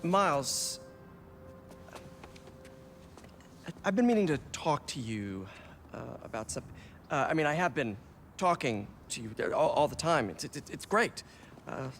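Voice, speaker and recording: A man playing an awkward, kindly young superhero who stammers haltingly in a video game scene. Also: a little high, very animated, fairly bright tone, soft background music, exaggerated voice. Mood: nervous, halting. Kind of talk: video game cutscene acting.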